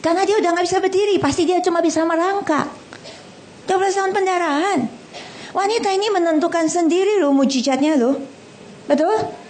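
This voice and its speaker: A middle-aged woman preaching to a congregation in a hall. She is animated and blunt, jokes in casual slang and keeps asking the audience questions. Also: extremely high pitch, fairly quick, a little hiss or hum. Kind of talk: lively sermon.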